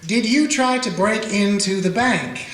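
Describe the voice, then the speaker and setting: Man doing a folksy old-west sheriff, highish voice, echoey room with a bit of music. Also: a little slow, a bit bright. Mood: patient. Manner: folksy, dry. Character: weary small-town male sheriff, dry humor. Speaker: sheriff and narrator. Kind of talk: radio play narration and acting.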